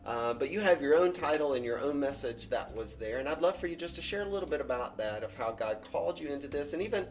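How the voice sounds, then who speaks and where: A man's voice: a little high, animated, fast, soft background music. A man interviewing an author, friendly, introducing the book and asking questions with some stumbles and repeated words.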